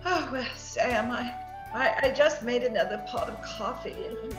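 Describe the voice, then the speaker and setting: Woman playing a high, fluttery, sweet older mother. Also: normal speed, music in the background, lightly acted, low-quality recording. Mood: shaken. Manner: chatty, flustered, twittering, genteel. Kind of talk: 1940s radio comedy-drama acting.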